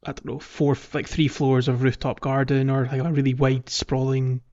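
A man's voice: medium pitch, level tone, normal speed, darkish tone, dull audio. A man co-hosting a gaming podcast in casual, rambling chat, thinking aloud as he goes. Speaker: podcast co-host.

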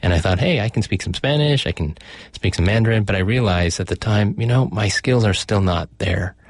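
Low-voiced middle-aged man, physician guest, measured explainer, dry booth sound. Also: husky, no echo. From United States. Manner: thoughtful, explanatory, with fillers and self-corrections. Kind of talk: conversational interview.

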